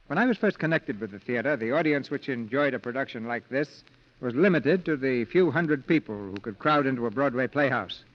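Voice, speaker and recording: A man hosting a 1940s radio theater, giving formal scripted narration in a polished, declamatory way. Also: mid-range voice. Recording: dull vintage 1940s radio with music.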